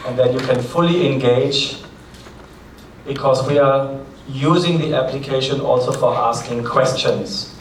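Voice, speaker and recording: A man's voice: mid-range voice, a little slow. A man with a German accent opening a conference as its host, giving practical announcements in a chatty way with light asides. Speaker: forum host. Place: large conference hall. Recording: echoey hall, distant mic, faint noise.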